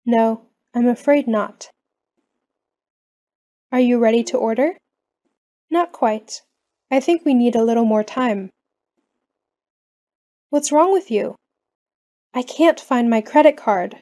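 A woman reading common questions and answers slowly for English learners. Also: a little high, mellow. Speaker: English lesson reader.

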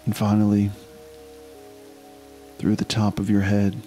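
Low-pitched man guiding a meditation. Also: monotone, dark tone, faint music behind. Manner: slow, unhurried instruction with long pauses. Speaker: meditation guide. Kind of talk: guided meditation.

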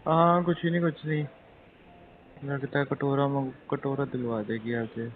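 A man's voice: medium pitch, fairly even intonation, a little slow, lightly acted. A man playing a teasing, penny-pinching young husband-to-be chatting casually and hesitantly on a phone call. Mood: joking, reluctant. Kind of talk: acted intimate phone-call drama in casual chat. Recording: tinny phone line, faint noise.

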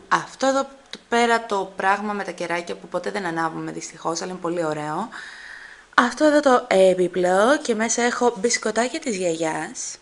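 A young woman, chatting to her handheld camera as she walks through her family home, excitable and full of quick asides to viewers. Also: mid-range voice. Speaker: vlogger. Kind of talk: casual vlog chat to camera. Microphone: handheld camera at arm's length.

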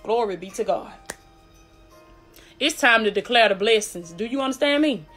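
A woman's voice: fairly high pitch, animated, normal speed, a bit bright, soft background music. A woman with a Southern US accent preaching a fervent livestream sermon in an emphatic, hyped, exhorting way, with repeated refrains. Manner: hyped, fired-up delivery.

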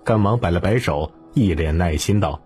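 A man narrating a historical novel as an audiobook in a dramatic, expressive storytelling manner. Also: sits low, moderate pace, husky, faint music behind.

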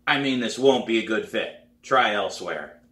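A man's voice: fairly low pitch, lively intonation, normal speed, pushed and bright. A man voicing a crabby, skeptical middle-aged drum teacher in a storybook reading, dry and dismissive. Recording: roomy, echoey living room.

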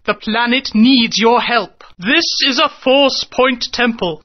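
A woman's voice: a little high, takes it slowly. A woman voicing a regal dinosaur mother in a video game, earnest and solemn as she pleads for help. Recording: dull lo-fi game audio.

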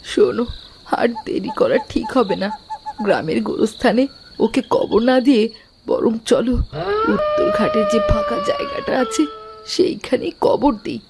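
Woman playing a shrill wailing older mother-in-law. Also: sing-song, moderate pace, noisy background. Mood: scolding. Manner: wailing, scolding. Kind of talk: Bengali cartoon dubbing.

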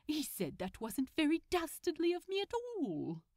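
A woman's voice: sits high, wildly swooping pitch, moderate pace, darkish tone, no echo, studio quality, pitched above the performer's own voice, heavily acted. A woman voicing a prim, wistful, lovesick male supervillain in a storybook reading, theatrical and earnest. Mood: earnest, aggrieved. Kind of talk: acted fan fiction audiobook reading.

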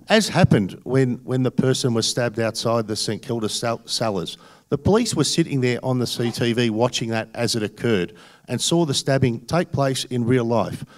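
A middle-aged man with an Australian accent speaks at a candidates' forum in a theatre, holding a microphone close. He talks in an earnest, insistent way and repeats phrases to hammer his points. His pitch sits at an ordinary middle level. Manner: earnest, repetitive, stressing points. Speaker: election candidate. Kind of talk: forum answers to audience. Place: theatre stage panel. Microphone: handheld mic.

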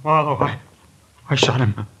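A man playing a shaken, panicky man with a halting, agitated delivery in a 1950s radio drama. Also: medium pitch, fairly bright tone, breathy edge, dull audio. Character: shaken, panicky man who shot in self-defense. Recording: old 1950 radio recording.

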